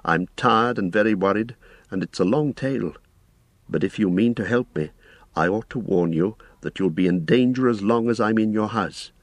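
A man's voice: lowish voice, normal speed, no room sound, natural voice. A man voicing an earnest, weary, courteous hobbit in an audiobook, speaking a little hesitantly. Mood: worried. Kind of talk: audiobook character voice.

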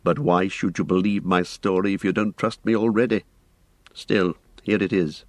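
A man voicing a grim, guarded ranger who speaks in a stern, measured way. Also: low-pitched, fairly even intonation, dry recording.

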